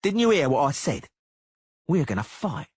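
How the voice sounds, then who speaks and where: A man voicing a brash, hotheaded young male duelist who jeers and shouts his challenges, with a husky voice. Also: fairly high pitch, big pitch swings, moderate pace, no echo, pitched above the performer's own voice. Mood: shouting. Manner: loud, jeering, shouting challenges.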